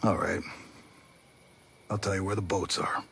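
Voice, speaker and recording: Gravelly, wary island homesteader leader. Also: male voice, low-pitched, level tone, measured pace, a bit bright, heavily acted. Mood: frank. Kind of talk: video game cutscene acting. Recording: faint background noise.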